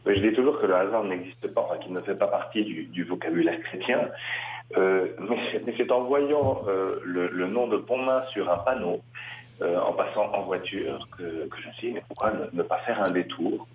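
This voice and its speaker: A middle-aged man from western France, a Dominican priest, answering interview questions with many pauses and restarts. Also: low-pitched, a little hiss or hum. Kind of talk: radio interview. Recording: roomy, slightly dull radio sound.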